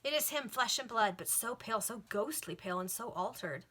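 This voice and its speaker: A woman reader voicing an excitable, loyal Victorian maidservant with a breathless, emphatic, urgent manner in a storybook reading. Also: a little high, a little fast, husky, pitched above the performer's own voice. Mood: alarmed.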